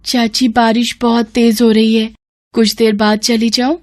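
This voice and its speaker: A woman's voice: a little high, level tone, unhurried, a little mellow, pitched above the performer's own voice, lightly acted. A woman voicing a timid eight-year-old orphan girl from a storybook, speaking in a meek, plaintive, pleading way. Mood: meek, worried.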